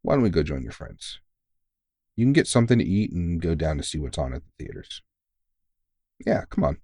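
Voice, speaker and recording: A man's voice: a bass voice, sing-song, a little fast, vocal fry, dark tone, husky, dry recording, full character voice. A man playing a tired, kindly veteran vampire hunter who mentors a rookie in an informal, teasing, reassuring way, in an ASMR comfort roleplay. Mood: fond, reassuring. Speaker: voice actor in audio roleplay.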